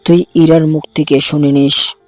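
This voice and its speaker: A man's voice: fairly high pitch, flat delivery, normal speed, dry recording, soft background music, lightly acted. A man playing a menacing, jealous young college rival in an audio drama. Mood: threatening.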